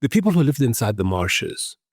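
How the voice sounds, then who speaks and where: A man's voice: mid-range voice, sing-song, fairly quick, dry recording, studio quality. Man from Iraq explaining, closely recorded. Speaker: guest expert, conservation organization founder. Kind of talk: explanatory interview conversation.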